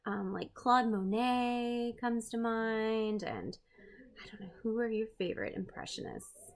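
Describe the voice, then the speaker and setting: A woman hosting an art read-aloud, expressive and playful, with chatty, self-deprecating asides to her viewers. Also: a little high, a little slow. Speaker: host, art teacher reading a picture book aloud.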